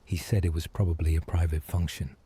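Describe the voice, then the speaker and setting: Husky, low-voiced wary security guard. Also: male voice, flat delivery, brisk, mellow, full character voice. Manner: clipped, hesitant recounting. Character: wary, evasive night security guard at a car park. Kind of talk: audio drama acting.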